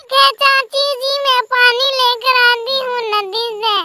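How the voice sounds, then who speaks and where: Meek little cartoon crow girl: piping high, polite, plaintive, unhurried. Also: steady tone, very noisy. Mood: obedient. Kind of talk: Hindi moral-story cartoon dialogue.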